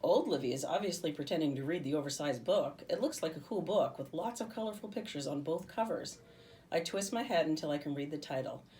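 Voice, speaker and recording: Female teacher as storybook narrator: low voice, animated, expressive read-aloud delivery. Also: brisk, distant mic. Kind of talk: expressive children's book narration.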